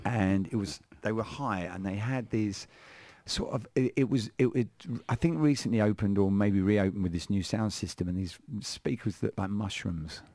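A man's voice: lowish voice, normal speed, hardly any pauses, dark tone, dry recording. A man hosting a radio show in a studio, chatting playfully and rambling through asides about music. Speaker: radio host. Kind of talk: casual radio chat. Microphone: studio microphone.

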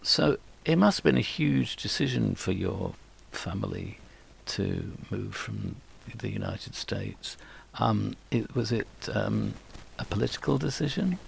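A man's voice: lowish voice, lively intonation, unhurried, creaky, slight background noise. A man interviewing a guest, stammering and restarting his questions.

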